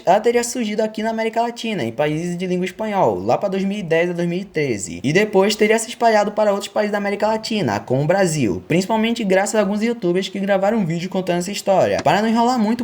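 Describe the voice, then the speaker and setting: A man's voice: high-pitched, darkish tone. A man with a Brazilian Portuguese accent narrating a scripted story for an online video, with informal, joking asides.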